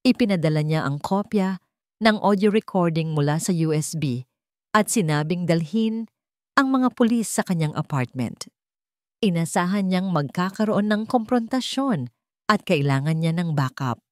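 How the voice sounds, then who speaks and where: Low-voiced woman narrating a drama. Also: expressive, moderate pace, dry recording, clean studio sound. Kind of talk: scripted dramatic love story narration.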